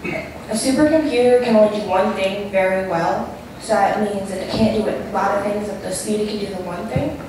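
A woman's voice: medium pitch, normal speed. Teen american girl giving a prepared talk, big echo, noisy recording. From New York State, United States. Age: teens, high school student. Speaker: student presenter. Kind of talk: prepared presentation. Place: large auditorium stage, far camera. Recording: echoey auditorium, distant mic, noisy.

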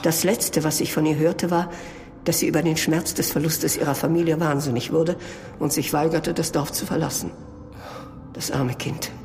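Actor as a solemn, higher-voiced monk. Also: male voice, steady tone, normal speed, darkish tone, faint music behind, close to an everyday voice. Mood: regretful. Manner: solemn, portentous. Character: grave, pious monk warning of vampires. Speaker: actor in an audio drama. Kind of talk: horror audio drama acting.

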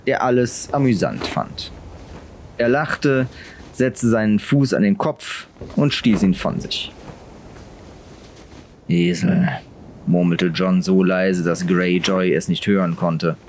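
Low-pitched man narrating an audiobook. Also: takes it slowly, darkish tone, a little hiss or hum. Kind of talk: scripted fantasy audiobook narration.

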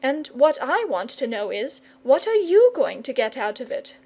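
Woman voicing a high, insistent young boy. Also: big pitch swings, moderate pace, dark tone, full character voice. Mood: indignant. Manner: insistent, forthright, colloquial. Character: blunt, impatient, loyal young boy. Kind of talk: storybook character reading.

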